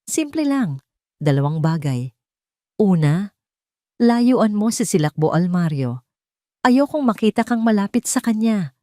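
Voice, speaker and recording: A woman voicing a male ex-lover, a scheming businessman who speaks calmly but with threat, in an audio drama. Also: mid-range voice, measured pace, pauses often, no echo, studio quality, pitched above the performer's own voice. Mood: threatening.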